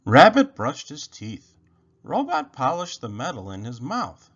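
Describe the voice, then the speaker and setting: Slow, animated male storybook narrator. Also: mid-range voice, breathy edge, dull audio. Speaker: storybook read-aloud narrator. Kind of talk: expressive children's story narration.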